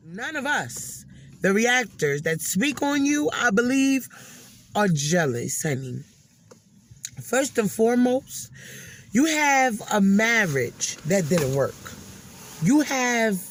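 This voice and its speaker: Woman scolding in slow, emphatic commentary. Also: medium pitch. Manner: scolding, emphatic, repeats phrases for stress. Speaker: host of a commentary and reaction channel. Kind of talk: opinionated social commentary, addressing an absent person.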